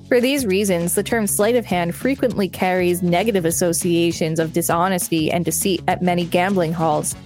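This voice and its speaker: A woman's voice: lowish voice, steady tone, moderate pace, few pauses, a little mellow, soft background music. A woman co-hosting a podcast, reading an encyclopedia article aloud and chatting casually. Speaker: podcast co-host.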